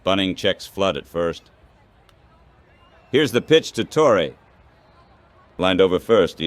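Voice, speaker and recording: A man doing baseball play-by-play commentary as the announcer, in measured, clipped phrases. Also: sits low, sing-song, dry recording.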